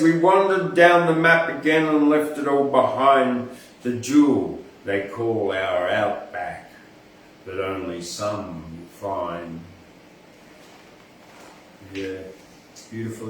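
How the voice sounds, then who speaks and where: A man's voice: mid-range voice, slow. An Australian man hosting an informal poetry reading, reciting verse and rambling through chatty asides as he thinks aloud. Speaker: poetry podcast host and reader. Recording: echoey, distant mic, faint background noise.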